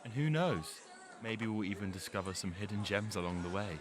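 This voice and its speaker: A man's voice: lowish voice, animated, a little fast, a crowd in the background, natural voice. A young man acting an easygoing, chatty teenage skater boy in a scripted audio drama, with a casual conversational delivery. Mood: upbeat, teasing. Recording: clearly noisy amateur recording.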